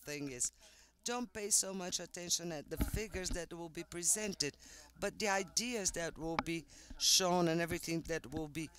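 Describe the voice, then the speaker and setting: A man's voice: fairly high pitch, moderate pace, a crowd in the background. A man interpreting a conference talk simultaneously, in a choppy, stop-start way.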